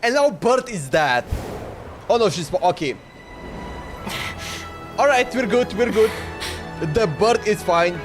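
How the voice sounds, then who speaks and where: A man with a high-pitched voice gives casual live commentary as a game streamer. He is informal and exclamatory, reacting as he plays, with game music behind him. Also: lively intonation, takes it slowly, long pauses. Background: video game music.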